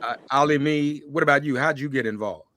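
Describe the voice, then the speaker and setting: A man's voice: mid-range voice, a little fast, a bit bright. A man hosting a conversational talk show interview, speaking effusively and often repeating his phrases.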